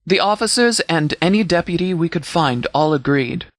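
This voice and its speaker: A man voicing a stern military commander in a video game, speaking formally and with measured authority as he briefs. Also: fairly high pitch, animated, dry recording, studio quality, natural voice. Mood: solemn, appreciative. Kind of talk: scripted game dialogue, mission briefing.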